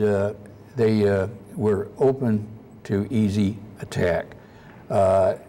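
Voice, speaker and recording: A soft-spoken man giving a slide-show history lecture at a convention, halting often with uhs. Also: fairly low pitch, a little hiss or hum. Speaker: guest lecturer.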